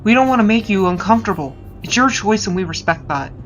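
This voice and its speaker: A woman's voice: medium pitch, expressive, fast talker, dull audio, natural voice. A woman voicing a considerate, curious disembodied voice inside a hero's head in a comic fan dub. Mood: reassuring. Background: music.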